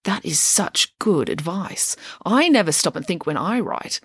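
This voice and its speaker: A woman voicing a scatterbrained, chatty mother who is playful and whimsical, talking in a raised, animated way. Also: fairly low pitch, a little slow, husky, dry recording, clean studio sound, heavily acted.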